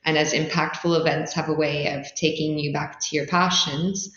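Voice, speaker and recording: A woman's voice: low-pitched, steady tone, moderate pace, a bit bright. A woman from the Netherlands giving articulate, explanatory answers as a startup founder in an interview. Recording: roomy, slightly dull sound.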